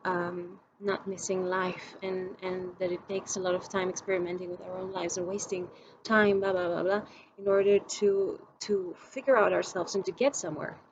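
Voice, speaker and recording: A woman's voice: lowish voice, steady tone, moderate pace, highs cut off, slight background noise. A young woman from Greece, talking to camera in a reflective personal vlog, musing aloud with many restarts while she searches for her words. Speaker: vlogger.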